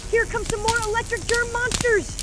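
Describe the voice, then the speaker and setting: Woman voicing a high, commanding boy hero. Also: little pitch movement, normal speed, heavy background noise. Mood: fierce, commanding. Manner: commanding, earnest. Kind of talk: animated comic dub voice acting.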